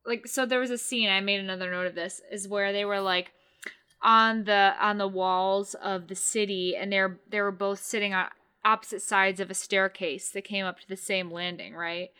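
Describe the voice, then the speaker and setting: A woman's voice: medium pitch, fairly even intonation, moderate pace, bright, forceful tone, clean tone. A woman co-hosting a podcast, gushing about a TV episode in casual chat, with lots of restarts. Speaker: podcast co-host.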